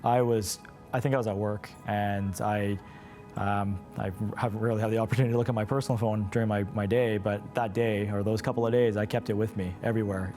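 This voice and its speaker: A man's voice: fairly low pitch, level tone, fairly quick, a little mellow, faint music behind. A man speaking candidly as an interview guest, in an earnest, conversational way. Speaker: interview guest, patient's brother. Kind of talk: candid personal storytelling in an interview.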